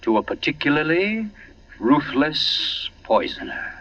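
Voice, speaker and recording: An actor playing a flamboyant, ruthless amateur sleuth with pompous, taunting delivery in 1940s radio drama style. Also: male voice, a little high, big pitch swings, a little slow, fairly bright tone. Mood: suave, mocking. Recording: muffled old radio recording, off mic.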